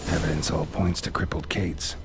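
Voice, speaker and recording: Gruff monster hunter, video game, very low pitch, terse, deadpan, street noise behind. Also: male voice, moderate pace. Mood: matter-of-fact. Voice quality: low gravelly voice. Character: stoic, gruff adult male monster hunter.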